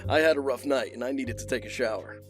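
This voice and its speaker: An actor playing a wealthy, guarded, dry-witted man in an audio drama, speaking in terse, measured lines. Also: medium pitch, faint music behind, lightly acted. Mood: guarded, polite.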